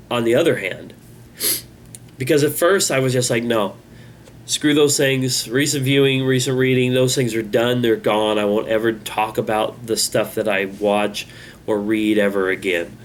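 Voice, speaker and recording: A man hosting his own channel, talking in a halting, rambling, self-critical way, with a congested voice. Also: mid-range voice, slight background noise. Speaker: channel host. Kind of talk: casual vlog monologue.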